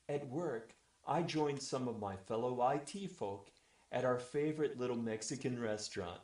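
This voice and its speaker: A man's voice: mid-range voice, a little mellow. Echoey man telling a comic bedtime story. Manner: mock-formal bedtime storyteller delivery. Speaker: storyteller, webcast regular. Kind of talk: scripted comic first-person storytelling. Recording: roomy, echoey voice recording.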